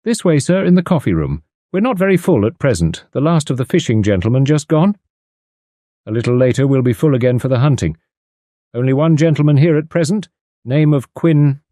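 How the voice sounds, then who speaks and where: A man's voice: mid-range voice, expressive, brisk, mellow, no echo, studio quality, pitched above the performer's own voice, close to an everyday voice. A man voicing a burly, ingratiating innkeeper of about fifty, obsequious and beaming.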